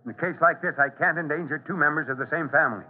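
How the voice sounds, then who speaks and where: A man's voice: a little high, very fast, soft background music, close to an everyday voice. A male actor playing a grave, caring American fire chief in an old-time radio drama, speaking with earnest authority. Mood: solemn. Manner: commanding, earnest, urgent. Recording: tinny, noisy vintage radio recording.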